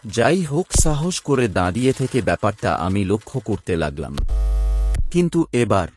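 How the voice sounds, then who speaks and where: Hushed man narrating a ghost story. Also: on the low side, sing-song, normal speed, very noisy. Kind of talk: first-person horror narration. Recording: spooky music bed under narration.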